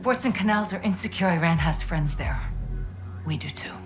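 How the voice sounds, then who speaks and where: Woman playing a composed intelligence officer, low-voiced. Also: expressive, normal speed, music in the background, natural voice. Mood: resolute, controlled. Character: determined, composed female intelligence officer, professional and resolute. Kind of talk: dramatic game cutscene acting.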